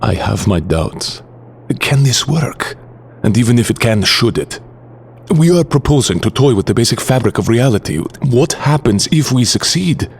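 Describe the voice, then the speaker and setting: An actor playing a big, gentle, doubting Russian husband in an audio drama, speaking earnestly in formal, deliberate phrases. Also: male voice, mid-range voice, lively intonation, gravelly, a little hiss or hum. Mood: troubled, doubting.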